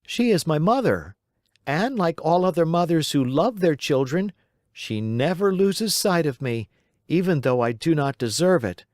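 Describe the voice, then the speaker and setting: Cheeky puppet boy, read by a man, high, lively, impish storybook voice. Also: a little slow, no echo, studio quality. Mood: storytelling.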